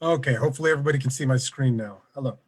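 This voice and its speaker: A man presenting an online workshop over a video call, relaxed and conversational, with many fillers. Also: medium pitch, very fast, husky. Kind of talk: casual online lecture.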